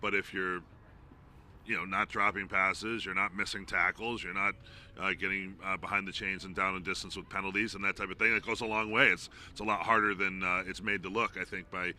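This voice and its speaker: Man, low-pitched, very forceful bright tone, conversational sports analysis, some background noise. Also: flat delivery, fairly quick. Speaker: sports play-by-play announcer, interview guest.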